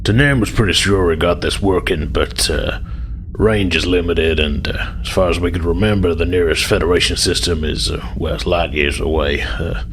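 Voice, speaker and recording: A man voicing a weary, resigned crash survivor in a tabletop roleplaying game, speaking haltingly. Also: sits low, a bit bright, a little hiss or hum.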